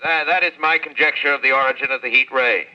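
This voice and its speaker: A scholarly professor in an old radio play, careful and formal. His tone is very bright and forceful, and the recording is muffled. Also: medium pitch. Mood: grave. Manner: deliberate, formal, precise. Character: learned measured male professor, scientist. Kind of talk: 1930s radio drama acting.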